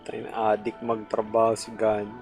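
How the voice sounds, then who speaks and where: A man's voice: lowish voice, monotone, fairly quick, over music. A man who is a native Tagalog speaker, streaming a game with casual off-the-cuff reactions.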